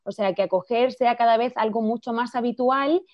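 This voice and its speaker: A woman's voice: fairly high pitch, normal speed, no echo. A woman with a non-Chilean Spanish accent, a filmmaker answering radio interview questions in long, earnest explanations. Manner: fluent, earnest explaining with many clauses. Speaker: filmmaker and campaign director, interview guest. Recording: dry, dull lo-fi radio audio.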